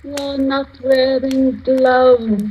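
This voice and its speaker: An extremely slow, eerie old woman speaks in a flat, dark tone. She is a lonely, reproachful ghost from a radio play, heard through faint recording noise. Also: high voice, rough recording. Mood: eerie, mournful. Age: older. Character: elderly murdered woman's apparition. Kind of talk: 1940s radio acting.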